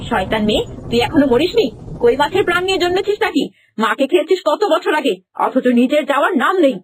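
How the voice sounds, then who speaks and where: A woman's voice: sits high, animated, quick pace, a little hiss or hum, low-quality recording. A woman voicing a cruel, scheming stepmother in a cartoon fairy tale, scolding and muttering to herself. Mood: vicious, menacing.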